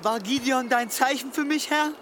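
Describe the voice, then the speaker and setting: An actor playing an anguished, guilt-ridden monk in a German radio drama, halting and repeating himself. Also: male voice, very high-pitched, noisy background. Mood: anguished prayer.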